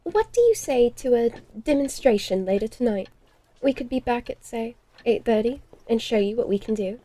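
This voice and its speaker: An actress plays a sardonic vampire lady in a Western horror audio drama. Her voice sits fairly high yet stays mellow, with prim, formal diction and a dry, haughty air. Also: lively intonation, moderate pace. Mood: polite, assured.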